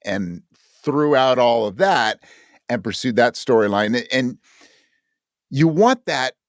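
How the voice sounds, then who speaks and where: A man being interviewed about his writing, talking in a thoughtful, halting way and voicing his own thoughts as quotes. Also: medium pitch, animated, dry recording, studio quality. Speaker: interview guest, audio drama writer.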